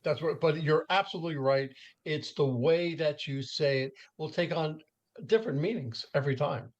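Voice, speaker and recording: Man, podcast host, slightly higher pitch, affirming, asks open questions. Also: normal speed, dull audio. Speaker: host, professional speaker. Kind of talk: conversational interview.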